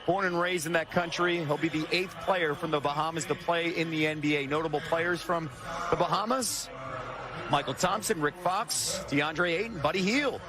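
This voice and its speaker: A man doing broadcast sports commentary, delivering brisk, informative remarks. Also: on the high side. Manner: informative, brisk list-reading.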